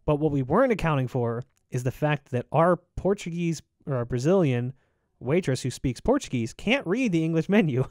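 A man in his thirties, a podcast host, telling animated stories over a video call with lots of filler words. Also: fairly high pitch, normal speed, no echo, clean studio sound. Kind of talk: casual chat, storytelling. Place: home room, indoors. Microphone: desk mic on video call, headphones.

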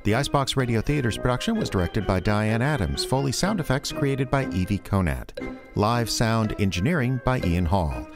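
A male announcer reads closing credits for a radio theater production. His delivery is animated, with wide swings in pitch, and music plays behind him. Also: moderate pace, vocal fry. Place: live stage before an audience.

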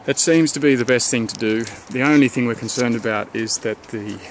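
A man's voice: mid-range voice, slight background noise. Man musing through a home renovation tour. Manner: unhurried, pausing often with ums and restarts. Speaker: homeowner giving a renovation tour. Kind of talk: casual thinking-aloud walkthrough. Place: outdoors around a house, under a deck and in a garden. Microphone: handheld camera held by the speaker.

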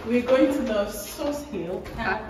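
A woman's voice: on the high side, slow pace, distant mic. A young adult woman chatting casually outdoors into a phone camera. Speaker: friend of the vlogger. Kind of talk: casual chat. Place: outdoors in street and open park.

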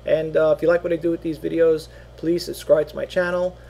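Rambling middle-aged man, mellow voice. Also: a little high, flat delivery, brisk, vocal fry. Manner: rambling, repeats himself, many fillers. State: losing his voice. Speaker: online seller. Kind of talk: casual product walkthrough. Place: small home office indoors. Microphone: webcam at desk.

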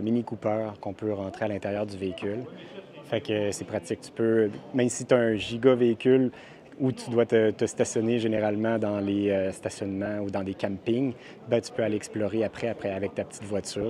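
A man's voice: mid-range voice, warm tone. A man with a Québécois French accent casually narrating a walkthrough of campers at an indoor RV show, chatty and descriptive, with frequent hesitations. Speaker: RV vlogger touring a trade show.

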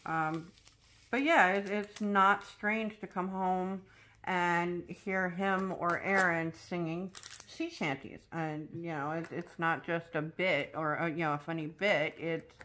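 A woman's voice: fairly low pitch, steady tone, slow, pushed and bright, slight background noise. A woman from New Mexico with an American accent, chatting casually and hesitantly as she crafts. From New Mexico, USA. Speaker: host of a crafting vlog. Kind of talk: casual chat while crafting. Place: indoors at a craft table.

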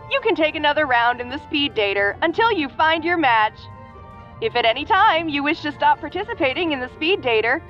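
Woman doing a cheery sci-fi company announcer lady, high voice, dull lo-fi sound, music behind. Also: a bit bright. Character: cheery female announcer for alien dating company. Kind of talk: scripted promotional announcement. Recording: muffled lo-fi announcement over music.

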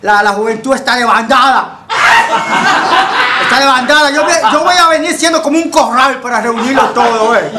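A swaggering Dominican street tough, played by a man brags in a high, mumbly ramble. Loud noise hangs under the voice. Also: moderate pace, echoey room. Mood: boastful. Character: boastful tough-guy male street thug customer. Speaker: customer in comedy sketch.

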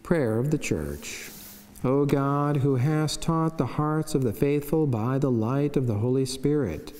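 Mellow middle-aged friar in a reverberant chapel. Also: male voice, mid-range voice. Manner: solemn, measured recitation. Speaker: Franciscan friar and priest. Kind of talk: devotional reading of a saint's life, scripted. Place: wood-panelled chapel, indoors. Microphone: lectern microphone. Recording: long church reverb.

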